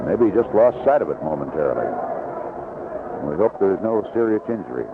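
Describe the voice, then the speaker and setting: A man working as a play-by-play announcer, giving live 1970s baseball radio commentary, unhurried in description and more animated on the action. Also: deep voice. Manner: unhurried description between plays, rising excitedly on action. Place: outdoor ballpark broadcast booth. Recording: noisy, tinny vintage AM radio broadcast.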